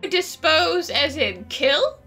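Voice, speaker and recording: A woman's voice: high-pitched, animated, slow pace, pushed and bright, faint music behind, full character voice. An actress playing a young female adventurer in a video game, inquisitive, with a wry, quippy manner. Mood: probing. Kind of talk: game voice acting.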